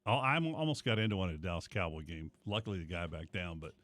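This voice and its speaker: American guy radio host, lowish voice that jumps around a lot, casual banter. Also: animated, fast talker, a bit bright. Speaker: morning radio show host. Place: radio studio.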